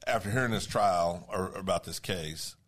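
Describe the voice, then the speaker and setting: Podcast co-host, man, big low voice, rambling casual storyteller. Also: moderate pace, fairly bright tone. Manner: talkative storyteller, many restarts. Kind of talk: casual chat.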